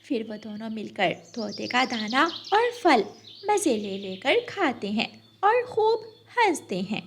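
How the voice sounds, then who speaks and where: A woman's voice: on the high side, very animated, slow, slight background noise. A woman reading scripted narration for a children's story as its narrator.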